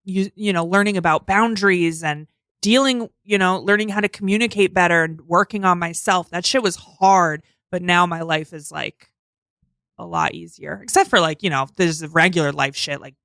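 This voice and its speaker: A woman in her mid-thirties talks openly as a podcast guest in a studio. Her voice is bright and carries, and the sound is close and dry. She talks freely, dotting her thoughts with "like" and "um". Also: on the low side, moderate pace, no room sound. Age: 30s. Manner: candid and chatty, with frequent "like" and "um". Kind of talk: casual chat.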